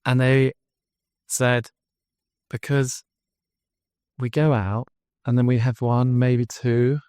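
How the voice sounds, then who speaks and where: An English man, guest on a podcast interview, telling his story as a drinks brand founder in an earnest pitch. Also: level tone, slow pace, darkish tone, smooth, no room sound, studio quality. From England.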